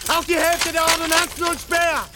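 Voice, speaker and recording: A man's voice: very high-pitched, little pitch movement, normal speed, heavy background noise. An actor playing a male military commander who barks urgent orders in a radio drama. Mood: commanding.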